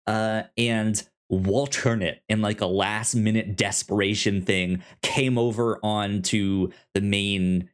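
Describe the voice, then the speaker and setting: A man co-hosting a casual podcast about a TV show, with a halting, stammering delivery. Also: lowish voice, steady tone. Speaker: podcast co-host.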